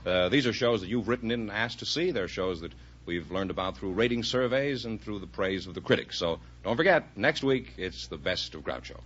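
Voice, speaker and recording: An American man works as a quiz show announcer in a bright, forceful voice with lively pitch movement. His delivery is classic 1950s broadcast style, heard on a dull old recording with faint noise. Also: brisk, breathy edge. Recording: muffled, noisy vintage broadcast recording.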